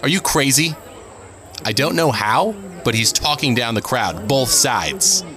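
An actor playing a cautious, hopeful police officer in an audio drama, earnest and questioning. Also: male voice, medium pitch, expressive, measured pace, a crowd in the background, close to an everyday voice. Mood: anxious. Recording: outdoor city noise behind. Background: urban street ambience.

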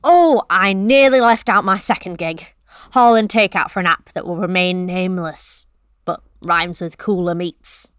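A woman's voice: lowish voice, measured pace, clear, resonant tone, dry recording. A young woman reading a first-person horror story in a wry, confessional, conversational way. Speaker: narrator.

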